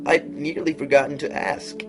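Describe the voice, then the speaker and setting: A man voicing a grinning, riddling cat in a storybook reading, speaking in a slow, drawn-out, teasing way. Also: on the high side, flat delivery, voice pushed up, lightly acted. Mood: sly drawl. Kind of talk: storybook read-aloud. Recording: music playing underneath.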